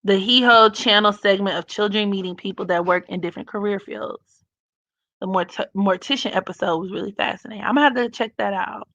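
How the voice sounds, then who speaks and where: A young woman with a raspy voice hosting a casual live stream, reading comments and giving blunt, profane opinions with frequent laughs. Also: medium pitch, brisk, fairly bright tone, no echo, dull audio.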